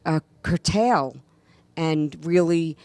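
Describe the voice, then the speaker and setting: Low-voiced comedian from New York. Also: female voice, dry recording. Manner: lively, laughing, quick asides. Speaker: comedian guest. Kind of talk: casual radio chat.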